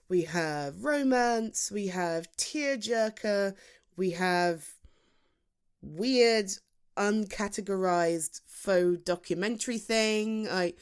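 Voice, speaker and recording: Animated British woman acting a chatty best friend. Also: lowish voice. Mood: playful. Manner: animated, conversational, teasing, with laughs and sighs. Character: playful, chatty female best friend venting about dating. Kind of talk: ASMR roleplay, one-sided humorous conversation.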